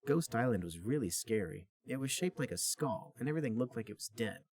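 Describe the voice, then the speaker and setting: Male podcast reader: dramatic, mock-serious narration with very lively pitch movement. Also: fairly quick. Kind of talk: mock-serious comic story reading.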